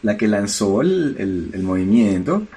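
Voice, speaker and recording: A man's voice: on the low side, big pitch swings, unhurried, warm tone. Brief: Venezuelan man, podcast co-host, argumentative and emphatic, casual. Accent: Venezuelan Spanish. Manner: argumentative, emphatic, frequent filler words. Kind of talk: casual discussion.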